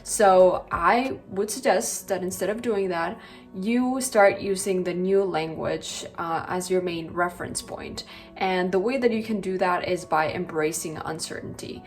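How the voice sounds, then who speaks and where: A woman's voice: on the low side, unhurried, soft background music. A young woman in her twenties from Colombia, Spanish-native with a Colombian accent, chatting casually to camera as a language vlogger, with lots of likes and you-knows. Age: 20s.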